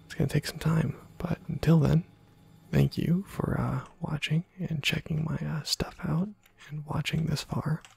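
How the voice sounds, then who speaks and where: A man giving soft-spoken ASMR commentary over a video game, husky and often near a whisper, in a rambling, self-deprecating way. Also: a little high, flat delivery, normal speed, no echo. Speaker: host of a gameplay video channel.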